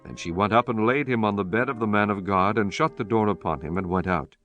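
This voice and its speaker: Low-voiced man narrating scripture. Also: brisk, lo-fi sound, faint music behind.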